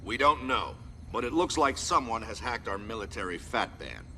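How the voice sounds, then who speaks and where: A man playing a stern, forceful military commander in a video game, giving orders and briefings in clipped phrases. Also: mid-range voice, animated, normal speed. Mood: grave briefing. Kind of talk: video game character acting. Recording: noisy background behind the voice.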